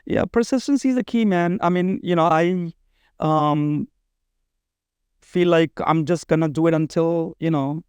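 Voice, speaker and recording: A man's voice: a little high, measured pace, darkish tone, clear, resonant tone, dry recording. A man talking casually as an interview guest, earnest and often repeating his phrases. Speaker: podcast guest, bridal expo organizer.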